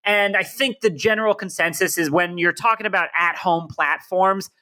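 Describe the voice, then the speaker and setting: A man's voice: high voice, moderate pace, pushed and bright. A man with a nasal voice, a podcast guest talking confidently about entertainment news. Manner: confident, explanatory. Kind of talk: casual podcast chat.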